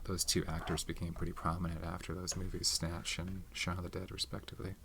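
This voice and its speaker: A soft-spoken man chatting casually in an ASMR style, hesitant, rambling and unhurried. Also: low voice, flat delivery, gravelly, slight background noise. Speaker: video host showing movie collection. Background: tapping and handling of movie cases.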